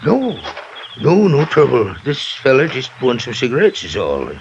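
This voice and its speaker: Nervous stammery western uncle, old radio show, creaky voice jumping all over. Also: male voice, mid-range voice, wildly swooping pitch, normal speed. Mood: anxious, defensive. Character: jumpy, stammering Western roadside camp keeper uncle. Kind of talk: old-time radio drama acting. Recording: old lo-fi radio recording, faint noise.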